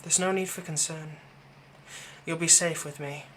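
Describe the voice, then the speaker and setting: A man playing a possessive young fantasy villain in an ASMR roleplay, tenderly soothing a captive in a soft voice that often turns breathy. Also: on the high side, steady tone, measured pace, a little hiss or hum. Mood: gentle, reassuring. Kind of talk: ASMR roleplay, intimate scripted monologue.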